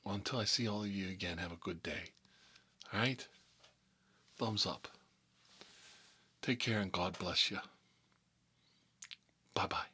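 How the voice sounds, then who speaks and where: A man's voice: low-pitched, unhurried, long pauses, bright, forceful tone, breathy edge, heavily acted. A man playing a nostalgic, folksy mob boss, telling rambling stories in a casual ASMR style. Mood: friendly. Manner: rambling, folksy, frequent "you know". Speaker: host of a character story series.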